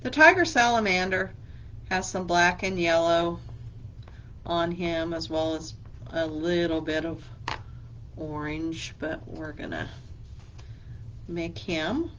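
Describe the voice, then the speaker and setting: Slow, patient woman librarian for children. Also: lowish voice, fairly even intonation, fairly bright tone, lo-fi sound, a little hiss or hum. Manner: slow, patient, teacherly with simple questions to kids. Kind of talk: children's story time craft lesson.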